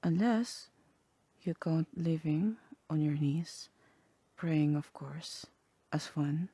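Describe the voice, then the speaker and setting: A woman voicing a sly, teasing demon in a half-whispered ASMR roleplay, languid and wry, with a husky voice. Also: sits low, slow pace, dark tone, no echo, full character voice. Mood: wry, mocking. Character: sly, teasing demon tempting a human toward hell.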